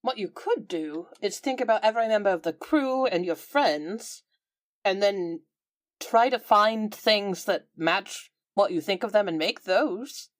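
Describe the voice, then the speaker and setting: A woman plays a tabletop roleplaying game, narrating her character casually. Her pitch swings widely and expressively, and the sound is dry with no room to it. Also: animated, a little slow, no room sound.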